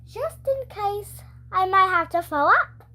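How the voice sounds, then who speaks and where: Reader playing a high-voiced, puzzled schoolboy. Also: lively intonation, takes it slowly, bright, forceful tone, a little hiss or hum, heavily acted. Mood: hesitant. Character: hesitant, puzzled young schoolboy.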